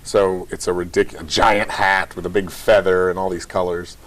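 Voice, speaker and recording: A young man from Kentucky with a very deep voice, chatting casually in a TV interview as a theater director. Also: normal speed, low-quality recording. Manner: relaxed, chatty, light laughs.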